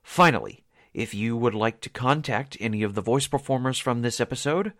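A man's voice: medium pitch, moderate pace, a bit bright, no room sound, studio quality. A man reading a scripted outro as a radio drama announcer, formal and theatrical in his phrasing.

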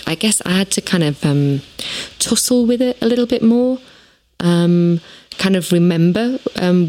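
Low-voiced woman, halting and thoughtful. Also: a little mellow, poor audio. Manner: thoughtful, halting, many fillers, casual swearing. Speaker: musician, band lyricist. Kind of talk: interview answers.

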